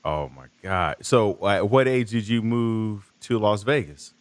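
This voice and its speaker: A man's voice: fairly low pitch, a little slow, dry recording. A man hosting a podcast, talking informally and conversationally with playful, slangy asides. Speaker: podcast host. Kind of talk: casual conversational podcast ad read and interviewing.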